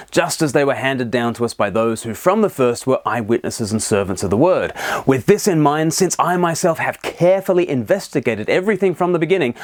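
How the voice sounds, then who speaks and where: A man presenting a scripted explainer, with playful, wry asides. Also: mid-range voice. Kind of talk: scripted narration to camera, explanatory.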